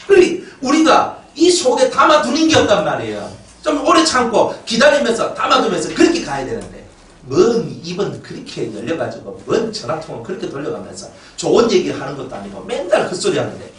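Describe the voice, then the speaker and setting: A male pastor with a Gyeongsang Korean accent delivers a lively, joking sermon, his pitch jumping up and down. His voice sits fairly high for a man. It is picked up from a distance in an echoey church hall, with faint noise underneath. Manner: animated and joking, acts out quotes in dialect. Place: church sanctuary.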